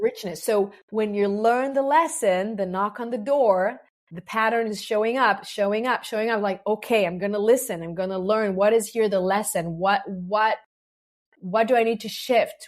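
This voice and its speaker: A woman's voice: medium pitch, measured pace. Earnest Israeli woman explaining ideas. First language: Hebrew. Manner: earnest, teaching with analogies, frequent restarts and "right?". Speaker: podcast guest. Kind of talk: explanatory interview talk.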